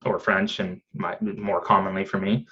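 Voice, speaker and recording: A man's voice: sits low, flat delivery, normal speed, sharp tone, lo-fi sound. A man, chatting casually in a rambling way with lots of fillers and restarts. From Canada. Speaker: podcast guest. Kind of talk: casual conversation.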